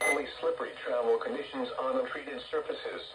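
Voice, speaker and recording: A man's voice: mid-range voice, fairly even intonation, brisk, background noise. A man's voice reading a formal scripted weather forecast, heard through a small radio speaker.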